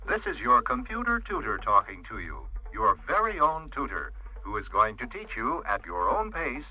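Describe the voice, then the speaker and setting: An actor voicing a chirpy computer tutor toy for children in a radio drama, singsong and over-enunciated. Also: male voice, medium pitch, big pitch swings, moderate pace, lo-fi sound, faint music behind. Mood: instructive, chirpy. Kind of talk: 1980s radio drama acting.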